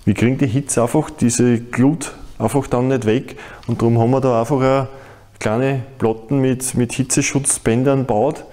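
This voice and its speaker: Casting: Austrian man, forties, motorsport presenter, dark relaxed voice, informal explanatory delivery. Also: medium pitch, moderate pace.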